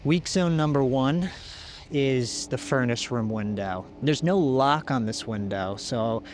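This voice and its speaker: A man's voice: medium pitch, animated. An actor playing a lone apocalypse survivor, uneasy but practical, talking conversationally to his camera in a found-footage vlog. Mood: methodical, matter-of-fact. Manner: conversational, matter-of-fact, slightly deliberate. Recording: noisy camcorder-style recording with ambience.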